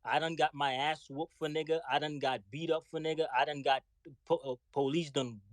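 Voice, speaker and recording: A man's voice: a little high, steady tone, a little fast, dry recording, studio quality. A man with a New York accent, speaking bluntly and indignantly in a heated online audio debate, often repeating himself. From New York City. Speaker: guest speaker.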